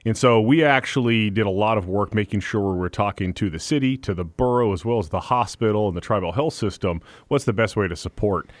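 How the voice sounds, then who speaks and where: A man's voice: lowish voice, fairly quick, hardly any pauses, dry recording. A man, a guest on a radio show, talking earnestly and at length. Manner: earnest, talkative, with fillers. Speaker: guest, political candidate. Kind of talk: radio interview. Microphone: studio microphone.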